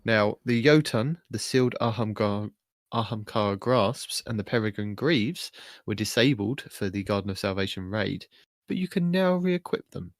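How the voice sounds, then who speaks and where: A man's voice: lowish voice, expressive, normal speed, dry recording, clean studio sound. A man co-hosting a gaming podcast in casual, conversational chat. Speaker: podcast co-host.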